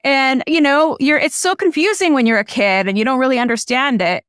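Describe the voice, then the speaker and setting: A woman's voice: sits high, brisk, a bit bright, smooth, dry recording, studio quality. A woman from Illinois whose first language is Spanish, a filmmaker guest talking casually and packing her speech with "you know" and "like". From Illinois, USA. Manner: chatty, frequent "you know" and "like" fillers. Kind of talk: casual conversational storytelling.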